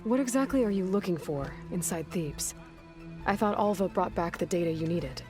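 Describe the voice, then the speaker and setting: An actress voicing a blunt, self-reliant young huntress in a video game, with a dry, understated delivery. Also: female voice, on the low side, lively intonation, moderate pace, over music, close to an everyday voice. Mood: guarded. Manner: dry, understated, matter-of-fact. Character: guarded, blunt, self-reliant young female machine hunter. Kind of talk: naturalistic video game acting.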